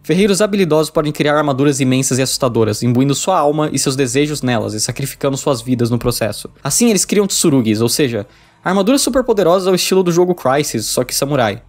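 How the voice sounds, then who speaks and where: A man's voice: mid-range voice, very animated, fast talker. A man with a Brazilian accent reading a scripted, informal review in a wry, jokey manner. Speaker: video essay reviewer.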